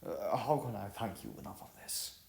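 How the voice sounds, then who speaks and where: A man's voice: on the low side, very animated, moderate pace, vocal fry, a little mellow. A man voicing a reserved, earnest male traveler who speaks in a hesitant, formal way in a storybook. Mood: grateful. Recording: roomy echo with music behind.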